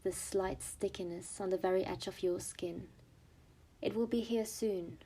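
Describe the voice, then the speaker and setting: A woman's voice: mid-range voice, steady tone, unhurried, warm tone, breathy edge. A woman acting as an ominous storybook narrator in an audio play, giving scripted dramatic narration. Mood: ominous, hushed. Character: ominous storybook narrator warning of a coming storm.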